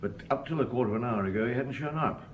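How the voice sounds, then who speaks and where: An actor playing a courteous, gruffly patient middle-aged chief inspector in an audio drama, asking questions with polite hesitation. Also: male voice, medium pitch, racing, close to an everyday voice. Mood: businesslike.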